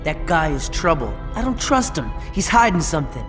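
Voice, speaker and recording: A man's voice: fairly high pitch, sing-song, normal speed, big-room sound. An actor playing a blunt, suspicious teenage boy who used to be a bad guy. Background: game music.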